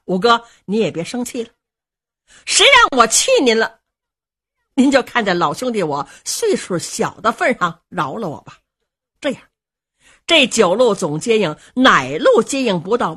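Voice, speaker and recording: A cocky young male commander from a traditional tale, acted by a woman storyteller, talking fast and teasingly to smooth things over. The tone is husky and lively, leaping up in pitch when he pleads. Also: full character voice. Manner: teasing, coaxing, quick and bright. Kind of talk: traditional Chinese storytelling performance.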